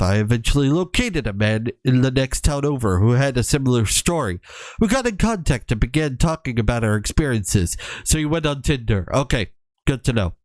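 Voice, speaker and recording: A man reading a horror story aloud in a casual, halting way, with wry joking asides. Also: mid-range voice, no echo.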